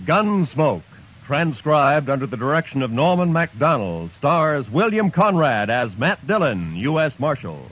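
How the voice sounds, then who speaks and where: A male radio announcer reading credits in a crisp, formal, projected way. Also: fairly high pitch, big pitch swings, a little slow, slight background noise. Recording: dull vintage 1950s radio recording.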